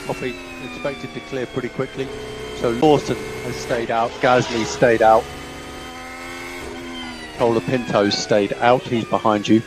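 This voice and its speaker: A man's voice: mid-range voice, huge pitch swings, unhurried. A man working as a race engineer, giving clipped, repeated instructions over motorsport team radio. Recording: noisy car team radio.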